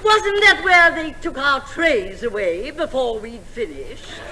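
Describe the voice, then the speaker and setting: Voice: high voice, expressive, slow, pushed and bright, heavily acted. A performer playing a genteel, innocent older lady with a wistful manner in a 1950s stage comedy sketch. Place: large theatre stage. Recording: noisy 1950s theatre recording.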